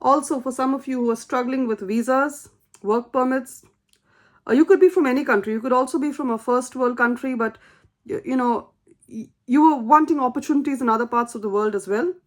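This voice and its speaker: A woman's voice: high voice, a little fast. A woman whose first language is not English, giving an earnest, encouraging tarot reading and repeating key phrases for emphasis. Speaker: tarot reader.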